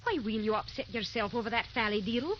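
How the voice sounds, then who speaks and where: An actress playing a brisk, no-nonsense woman of the household in an old radio drama, scolding with emphatic, theatrical delivery. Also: a little high, animated, fairly bright tone. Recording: dull, hissy old radio recording.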